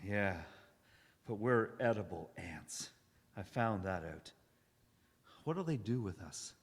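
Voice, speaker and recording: A man's voice: fairly low pitch, expressive, gravelly, exaggerated voice. Man acting a haunted wanderer in a reverberant hall. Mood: anxious, despairing. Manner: halting and uncertain, dramatic solemn delivery. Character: haunted, weary male wanderer narrating his survival. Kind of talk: live stage radio drama acting. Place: church hall stage, live performance. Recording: echoey hall, live performance.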